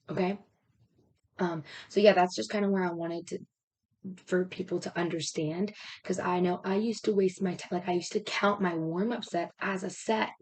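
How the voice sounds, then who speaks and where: A woman with a raspy voice that is giving out, talking casually as a fitness coach and explaining step by step in an informal, rambling way. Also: on the low side, a little mellow. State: raspy, losing her voice.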